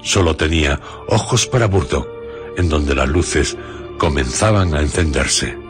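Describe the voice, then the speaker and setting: Male narrator for radio theatre: extremely low pitch, Castilian accent, measured scripted delivery over prominent music. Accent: Castilian Spanish.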